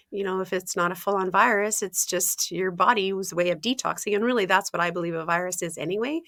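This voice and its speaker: A woman, a health coach talking casually in an interview, often laughing, clearing her throat and using fillers. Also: mid-range voice, fairly bright tone, dry recording, studio quality. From Ontario, Canada.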